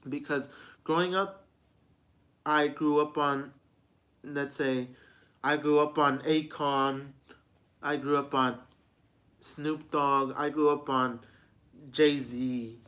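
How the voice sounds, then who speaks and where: A man's voice: fairly high pitch, fairly even intonation, slow pace, pauses often, fairly bright tone. A man in his twenties giving a rambling, repetitive personal vlog talk, often restarting his sentences as a music reviewer. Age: 20s.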